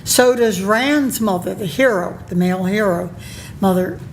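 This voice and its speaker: A woman giving an academic conference talk, reading from a paper in a measured way with pauses and fillers. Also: mid-range voice, creaky, a little hiss or hum, rough recording. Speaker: conference presenter. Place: conference room.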